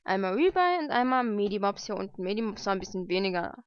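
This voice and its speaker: A woman's voice: medium pitch, big pitch swings, fast talker, dry recording. A young woman chatting casually and thinking aloud as she gives informal unboxing commentary at home. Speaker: vlogger unboxing mail-order books. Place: lived-in room at home, indoors. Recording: dull lo-fi home recording.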